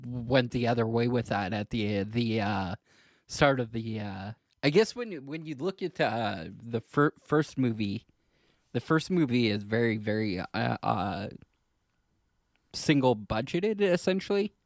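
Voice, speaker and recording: A man co-hosting a podcast in casual discussion, speaking hesitantly in halting, trailing clauses. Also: mid-range voice, expressive, no room sound. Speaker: podcast co-host.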